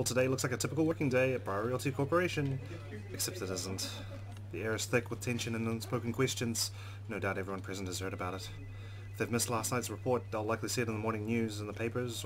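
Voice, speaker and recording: Fast-talking low-voiced man reading a story. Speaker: let's play narrator. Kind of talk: dramatic read-aloud of a visual novel.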